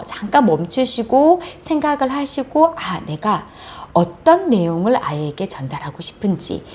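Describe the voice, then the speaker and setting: A woman hosting a book recommendation for mothers, explaining things politely and conversationally like a teacher. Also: mid-range voice. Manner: explanatory, polite, acts out example phrases. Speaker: book review host, teacher.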